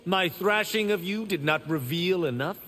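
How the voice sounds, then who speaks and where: An actor playing a cocky, boastful young martial-arts warrior in a video game. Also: male voice, high voice, normal speed, fairly bright tone, long reverb tail.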